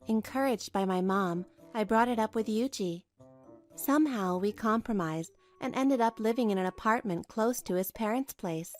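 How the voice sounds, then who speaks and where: A woman's voice: mid-range voice, moderate pace, a little mellow, lightly acted. A woman playing an anxious, earnest young wife who narrates her own troubles in a dramatised manga story. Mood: weary, aggrieved. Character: put-upon young wife, anxious, earnest, long-suffering. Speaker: narrator and lead of a manga dub. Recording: music behind the voice.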